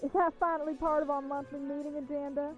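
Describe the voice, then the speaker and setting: A woman's voice: sits high, level tone, fast talker, muffled recording, soft background music, lightly acted. A woman playing an eager, naive giant supervillain in a comedic radio play. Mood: eager, clueless.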